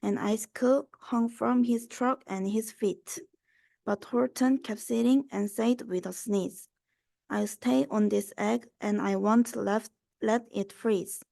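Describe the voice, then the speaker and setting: A woman's voice: a little high, fairly even intonation, slow pace, gravelly, warm tone, dry recording. A young woman reading a children's rhyming story aloud. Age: young adult. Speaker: student reader.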